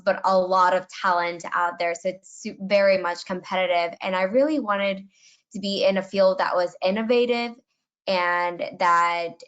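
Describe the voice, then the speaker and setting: A middle-aged woman from Colombia, a webinar panelist, talks about her working life in an earnest, reflective tone. Her voice sits low and stays fairly even in pitch. The sound is a little dull, like typical webinar audio. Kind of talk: personal career story on a webinar panel.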